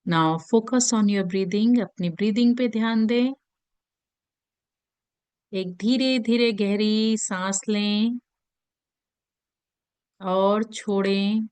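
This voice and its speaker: A woman with an Indian accent leads a guided meditation in a gentle, soothing manner with long pauses. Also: mid-range voice, steady tone, smooth, no room sound. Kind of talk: guided meditation in Hindi mixed with English.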